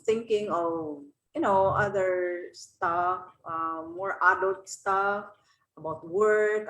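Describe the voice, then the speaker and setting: A woman with a Filipino accent teaching informally, reflective and encouraging, with personal examples. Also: mid-range voice. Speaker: teacher answering students' questions as guest speaker. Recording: roomy, echoing sound.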